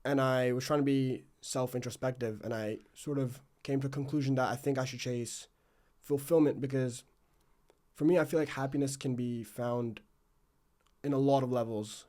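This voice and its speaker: A man's voice: mid-range voice, steady tone, normal speed, darkish tone. A man hosting a podcast in a casual, reflective way, rambling and self-questioning as he circles toward his questions. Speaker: podcast host, interviewer. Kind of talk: casual reflective interview chat.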